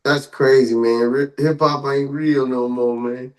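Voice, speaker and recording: A man's voice: mid-range voice, fairly even intonation, unhurried. A man co-hosting a casual podcast, giving slangy, opinionated takes on hip-hop and pop culture news with exaggerated asides. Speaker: podcast co-host.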